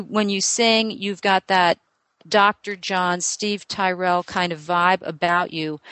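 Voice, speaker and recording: A chatty woman psychic giving a reading, her talk full of fillers and quick strings of questions. Her voice sits at a middle pitch. The sound is dry and close, with no room echo. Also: a bit bright. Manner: chatty, thinking aloud with many fillers; asks many quick questions in a row. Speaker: psychic medium. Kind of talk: live reading session with a client.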